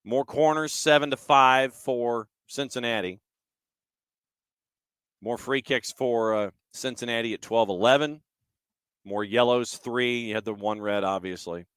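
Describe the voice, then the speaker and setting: A man's voice: mid-range voice, measured pace, fairly bright tone, clear, resonant tone, no echo, clean studio sound. A man hosting a sports show, talking through match analysis and statistics conversationally in short, clipped fragments.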